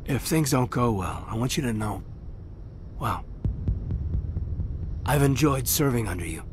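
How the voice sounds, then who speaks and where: An actor voicing an earnest, loyal male soldier in a video game, soft-spoken and sometimes close to a whisper. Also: medium pitch, animated, unhurried, a little hiss or hum, lightly acted. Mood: reflective. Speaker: soldier serving under the commander. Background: game music.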